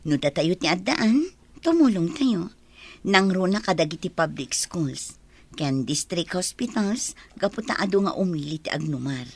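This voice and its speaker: An actress with an Ilocano accent playing an affectionate, charitable rich wife. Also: female voice, on the low side, expressive, normal speed, breathy edge.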